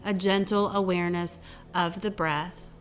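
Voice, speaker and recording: A woman leading a guided meditation as a teacher, speaking gently in short phrases with long pauses between them. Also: lowish voice, steady tone. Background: meditation music.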